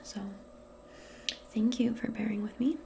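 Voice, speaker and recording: A woman speaks in a soft, breathy half-whisper, keeping her pitch very even and her pace slow. She plays a gentle modern witch in an unhurried, improvised ASMR roleplay, with faint noise behind her.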